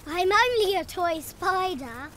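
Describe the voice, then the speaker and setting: Cartoon toy spider, Scottish, cheerful and curious, very high voice, unhurried, over loud music. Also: female voice, animated, heavy background noise, heavily acted. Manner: slow, playful, wondering. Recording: children's show with loud music.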